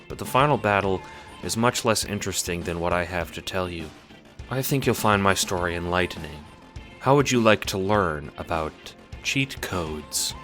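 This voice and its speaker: A man voicing a calm, matter-of-fact dead troll girl who now lives in a robot body, in an audio drama. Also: low-pitched.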